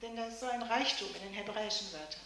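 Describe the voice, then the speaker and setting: A woman's voice: mid-range voice, fast talker, sharp tone. A woman interpreting a sermon into German phrase by phrase, sometimes explaining the literal wording. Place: church. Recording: very echoey church hall.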